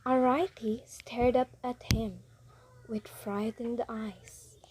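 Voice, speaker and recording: A woman's voice: a little high, fairly even intonation, slow pace, a little mellow, slight background noise. A young woman reading scripted story narration as a readers' theatre narrator. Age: young adult.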